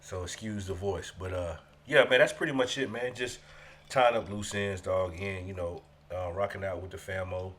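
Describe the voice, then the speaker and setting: Deep-voiced stuffy podcast man. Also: fairly even intonation, moderate pace, a bit bright, slight background noise. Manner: laid-back, slangy, swears freely. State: stuffy from a summer cold. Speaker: podcast co-host. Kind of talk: casual chat.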